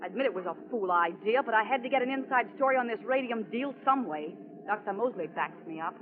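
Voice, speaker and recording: A woman's voice: on the high side, lively intonation, quick pace, a crowd in the background, close to an everyday voice. An actress playing a coy, bold and insistent woman reporter in a 1940s radio drama. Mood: defensive. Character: flirtatious, bold woman reporter, cool femme fatale. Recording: tinny phone-like old radio recording.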